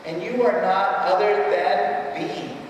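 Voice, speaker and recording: Male speaker, fifties, fairly high voice, emphatic keynote teaching with deliberate repetition, heard in a very reverberant hall. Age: 50s. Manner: emphatic, halting, repeats key phrases for weight; poses rhetorical questions to the audience. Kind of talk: live keynote address, storytelling religious teaching. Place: large reverberant hall before an audience. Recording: echoey hall, distant mic, faint noise.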